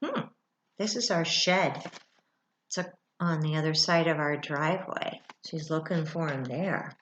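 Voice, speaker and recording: A middle-aged woman reads a children's book aloud like a teacher, expressively, pausing for asides and questions to young listeners. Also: low-pitched, noisy background. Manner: expressive, with dramatic gasps and thoughtful hums. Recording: roomy, echoey indoor sound.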